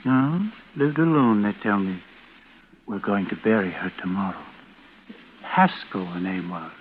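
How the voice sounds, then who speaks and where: An actor playing a formal, musing undertaker with hesitant, old-fashioned phrasing, in 1940s radio drama style. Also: male voice, lowish voice, measured pace, close to an everyday voice. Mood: musing, grave. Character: musing, formal undertaker telling an eerie tale. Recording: tinny, noisy old radio recording.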